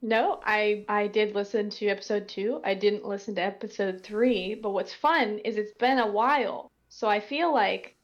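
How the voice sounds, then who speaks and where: A woman's voice: mid-range voice, moderate pace, hardly any pauses. Woman on slightly muffled mic. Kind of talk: casual chat.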